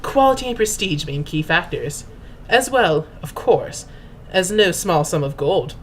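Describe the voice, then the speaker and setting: A woman's voice: on the low side, animated, unhurried, a little hiss or hum. Pompous woman giving a theatrical reading. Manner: pompous, mock-grand, formal delivery. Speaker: host and reader. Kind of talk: theatrical dramatic reading of fan fiction.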